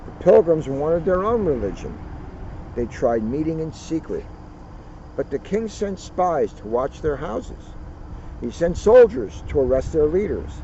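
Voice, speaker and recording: A man reading a children's history book aloud outdoors, with deliberate, dramatic phrasing and casual asides. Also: on the high side, sing-song, dark tone. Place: outdoors at a turkey farm. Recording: muffled outdoor recording, faint vehicle noise.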